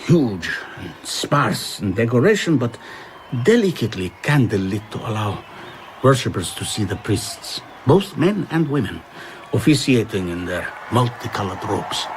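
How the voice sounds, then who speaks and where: A man's voice: lowish voice, slight background noise, low-quality recording. An actor playing a haunted, wistful traveller reflectively recounting his past in a radio drama. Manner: reflective, storytelling.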